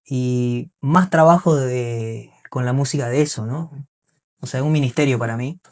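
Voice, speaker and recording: A man with a mid-pitched voice and a Bolivian accent crossed with Argentine speaks as a podcast guest. He explains himself earnestly in casual conversation, often adding small tag questions to check he is understood. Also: moderate pace, a little mellow. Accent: Bolivian mixed with Argentine. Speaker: interview guest, singer and musician.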